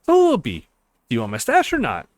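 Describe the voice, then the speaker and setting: A man's voice: a little high, huge pitch swings, moderate pace, dry recording. A man voicing a nerdy, smug female hacker with wordy, mock-formal phrasing in a visual novel. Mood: smug, teasing.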